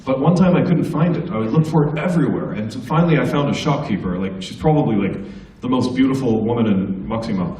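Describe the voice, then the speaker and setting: A man's voice: medium pitch, fast, few pauses, dark tone, slight background noise. A middle-aged American man telling comic stories and teasing students as a guest speaker at a school assembly. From United States. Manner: joking, teasing the audience. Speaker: guest speaker, stand-up comedian. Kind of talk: stand-up storytelling to students. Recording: echoey hall from far off.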